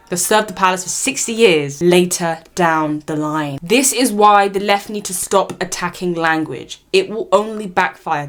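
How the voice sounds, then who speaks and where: A woman giving an opinionated commentary monologue, sarcastic and mocking, slipping into parody voices. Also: medium pitch. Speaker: commentary vlogger.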